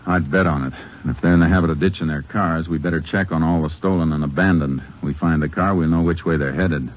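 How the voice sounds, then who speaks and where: An actor with an American accent playing a calm, methodical, laconic Texas Ranger in a 1950s radio western. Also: male voice, a bass voice, fast talker. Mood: businesslike. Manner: laconic, matter-of-fact. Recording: noisy, dull 1950s radio recording.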